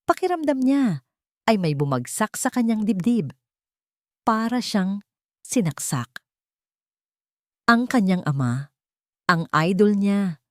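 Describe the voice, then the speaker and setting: A woman reading a scripted romantic drama as narrator, measured and emphatic, building suspense. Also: mid-range voice, dry recording, clean studio sound. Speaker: story narrator.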